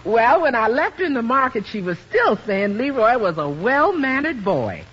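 An actress playing a good-natured, wry, folksy household cook in a 1950s radio comedy. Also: female voice, a little high, sing-song, moderate pace, a bit bright, husky, full character voice. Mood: agreeable. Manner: folksy, deferential yet teasing. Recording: muffled, noisy 1950s radio recording.

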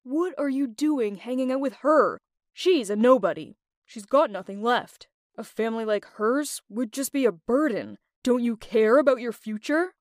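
A woman's voice: on the high side, animated, moderate pace, dry recording, clean studio sound, natural voice. A woman voicing a stern, disapproving middle-aged father who scolds and gives orders. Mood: scornful. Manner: cold, scolding, commanding.

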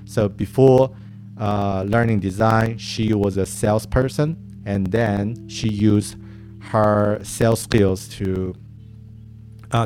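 Casting: male design mentor, non-native speaker with Chinese as his first language, lowish voice, unhurried delivery, soft guitar underneath. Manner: slow, halting, with restarts and fillers. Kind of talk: advice talk to camera.

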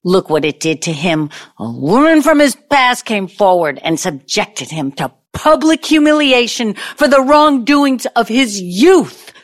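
A woman's voice: a little high, very animated, a little slow, hardly any pauses. A woman playing a wounded, vengeful older ex-girlfriend in an audio play, speaking in a dramatic, pointed way. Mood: angry, accusing. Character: bitter, wounded, vengeful ex-girlfriend from mogul's college days.

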